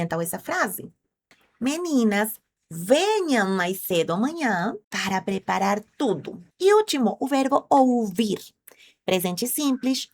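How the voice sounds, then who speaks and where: A woman's voice: mid-range voice, darkish tone. A woman whose first language is Spanish, teaching Portuguese in an online lesson, explaining patiently and quizzing viewers. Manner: clear, patient explaining with drilled conjugations; asks the viewers questions directly.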